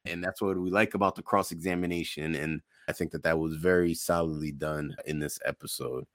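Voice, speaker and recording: A man's voice: rumbling low, steady tone, fairly quick, fairly bright tone, no echo, clean studio sound. A man co-hosting a podcast in casual conversation, speaking emphatically and repeating phrases for emphasis. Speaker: podcast co-host.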